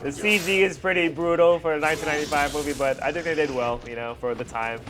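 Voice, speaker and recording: High-pitched man doing hyped reaction commentary. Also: steady tone, fast talker, noisy background. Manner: casual slang, hyped exclamations. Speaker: reaction video host. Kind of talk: excited reaction commentary on fight scenes. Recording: music behind the voice.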